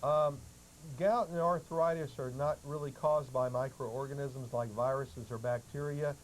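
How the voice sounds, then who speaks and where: A man speaking as a talk-show guest, explaining health remedies in a halting, matter-of-fact way. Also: medium pitch, steady tone. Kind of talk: explanatory talk-show answers, some reading aloud. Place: public access television studio. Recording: noisy old public access TV audio.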